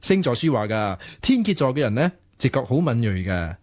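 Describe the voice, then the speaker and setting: A man's voice: medium pitch, sing-song, a little fast, darkish tone, natural voice. An actor playing an earnest, naive, romantic young man in a radio drama, speaking with a Hong Kong Cantonese accent. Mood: confident, defensive.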